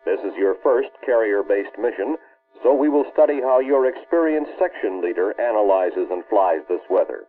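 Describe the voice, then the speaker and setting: An American man reads scripted instructional narration for an early 1960s military film in a formal, authoritative, measured way. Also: fairly low pitch, lively intonation, a little hiss or hum. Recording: muffled, band-limited old film soundtrack.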